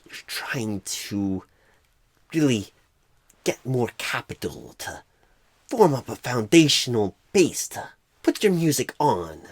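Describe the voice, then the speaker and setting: Bumbling male recruiter, acted by a man, ASMR roleplay. Wildly swinging pitch, rambling halting delivery, very close dry sound. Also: breathy edge, dry recording, heavily acted. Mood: probing, halting. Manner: halting, odd pauses and stresses, rambling, repetitive.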